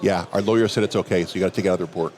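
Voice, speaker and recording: A man with a big voice, a security professional telling a relaxed story during a hallway chat at a conference. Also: low voice, racing. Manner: relaxed anecdotal telling, quoting others in dialogue. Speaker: interview guest, security professional. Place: outdoors at a conference venue.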